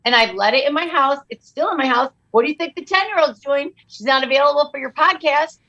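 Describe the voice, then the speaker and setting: A middle-aged woman, a comedian guest, bantering on a podcast in an animated, jokey, exaggerated way. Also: high voice, quick pace, highs cut off.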